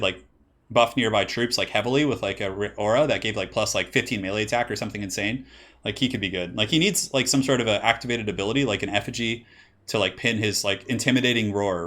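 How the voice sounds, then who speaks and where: A man's voice: lowish voice, fairly bright tone. A young man from California casting a strategy game match and chatting with his stream's chat, rambling with lots of "like" and false starts. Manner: rambling, filler-heavy with many "like"s. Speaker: streamer and caster. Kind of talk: casual game commentary with chat.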